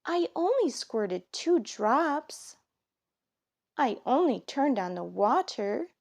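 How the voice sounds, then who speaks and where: A woman's voice: sits high, big pitch swings, slow pace, vocal fry, darkish tone, voice pushed up, exaggerated voice. A woman voicing an innocent, protesting young child in a children's storybook, eager and emphatic.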